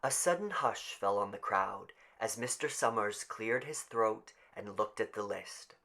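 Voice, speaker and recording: A man's voice: fairly low pitch, a little slow, vocal fry, bright, forceful tone. A man narrating a scripted story as an audiobook reader.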